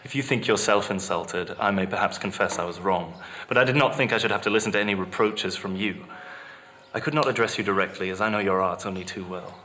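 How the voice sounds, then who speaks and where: A man playing a cool, scornful and insistent gentleman libertine in a dramatized memoir, speaking formally and sardonically. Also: low voice, fast talker. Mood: cold, accusing.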